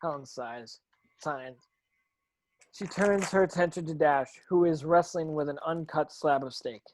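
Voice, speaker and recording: A woman's voice: sits low, unhurried, a little mellow, rough recording. A woman reading script stage directions as narration, with occasional stumbles over the words.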